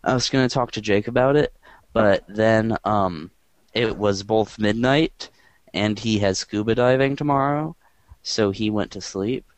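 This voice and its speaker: A man hosting a podcast in casual chat, with a quiet, monotone voice and a rambling, dry way of talking. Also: lowish voice, a little slow, no echo. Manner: rambling and dry, filler-heavy. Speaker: podcast host.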